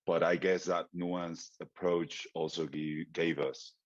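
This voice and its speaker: A man from Argentina moderating a panel conversation, asking questions and reflecting out loud. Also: low voice, little pitch movement, slow, no room sound, muffled recording.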